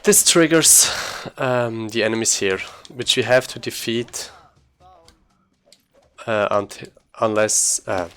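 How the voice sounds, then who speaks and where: Low-voiced man commentating a speedrun. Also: takes it slowly, fairly bright tone, clear, resonant tone. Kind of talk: casual live gaming commentary.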